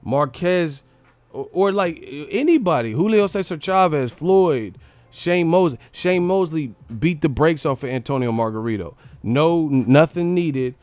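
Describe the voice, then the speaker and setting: A man's voice: a little high, expressive, unhurried, darkish tone, dry recording. A man hosting a casual livestream fight breakdown, blunt and opinionated, with slangy talk and plenty of swearing. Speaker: livestream host, boxing commentator.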